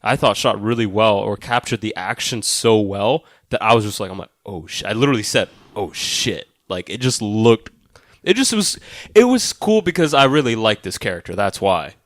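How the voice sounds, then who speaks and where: A man's voice: on the low side, animated, moderate pace, no echo, clean studio sound. A man co-hosting a film podcast, casually arguing his points with swearing and emphatic repetition.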